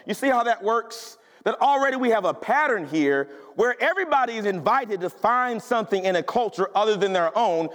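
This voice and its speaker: Male pastor, very high voice, punchy conversational preaching, church with long echo. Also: fairly bright tone. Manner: emphatic, conversational preaching with rhetorical questions. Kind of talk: sermon. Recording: long hall reverb.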